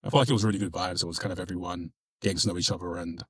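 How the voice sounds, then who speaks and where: A man's voice: low voice, steady tone, very fast, husky, dry recording, clean studio sound. A man who is a podcast guest, answering in an easygoing, casual way and saying "honestly" a lot.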